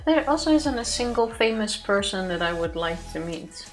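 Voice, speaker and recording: A woman, co-hosting a let's play, who speaks bluntly with dry, quick retorts. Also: medium pitch, expressive. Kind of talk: casual chat over gameplay. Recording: faint music behind.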